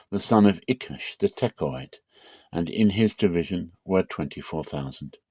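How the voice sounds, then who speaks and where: A man with a low voice gives a dramatic reading of scripture. He is measured and unhurried, stretching key phrases. The recording is close and dry, with no room sound. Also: darkish tone, no room sound. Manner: measured, slows for emphasis. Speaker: Bible reader.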